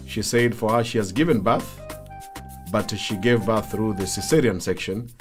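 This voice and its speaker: A man hosts a live talk show. He addresses listeners and guests in a conversational way, with faint music playing behind him. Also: on the low side.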